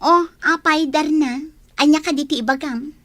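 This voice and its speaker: A woman's voice: high voice, normal speed, a bit bright. A woman playing a vain, snappish young village beauty who craves riches, in a radio drama. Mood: airy, dreamy. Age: young adult. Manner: haughty, snappish.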